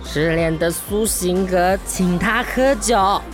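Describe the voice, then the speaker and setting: Slow, drunken heartbroken Taiwanese guy. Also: high voice, over music. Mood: tipsy musing. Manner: slurred, tipsy rambling. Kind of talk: Mandarin radio drama acting.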